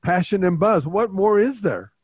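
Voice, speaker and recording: A man's voice: high voice, animated, normal speed, a little mellow, slightly rough, no room sound. An older man hosting a casual interview, telling stories and giving emphatic advice. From Portuguese family, dairy upbringing. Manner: emphatic, storytelling, gives advice. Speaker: host, bodybuilding coach.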